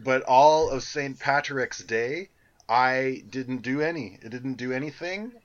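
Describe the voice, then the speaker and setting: A man's voice: medium pitch, unhurried, lo-fi sound. A man co-hosting a podcast in casual chat, telling crude rambling stories. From Canada. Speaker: podcast co-host.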